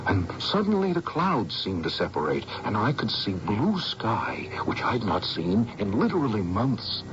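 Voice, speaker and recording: Dull, noisy old radio recording of a haunted man in a drama. He is intense and frightened, convinced he is dying, with a low voice that swings widely and sometimes drops to a breathy hush. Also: huge pitch swings, measured pace. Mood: haunted awe.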